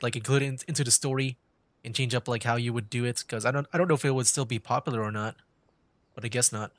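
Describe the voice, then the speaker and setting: Young male streamer, rambling, mid-pitched. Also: fairly even intonation, quick pace, dry recording. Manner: hesitant, rambling, repeats words. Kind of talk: casual live gaming commentary. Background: game music.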